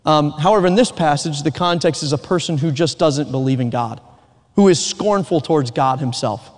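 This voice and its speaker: A man's voice: on the high side, moderate pace. A man with a Southern American accent preaching a Sunday evening sermon, earnest and emphatic, with joking asides. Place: church sanctuary. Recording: reverberant church hall.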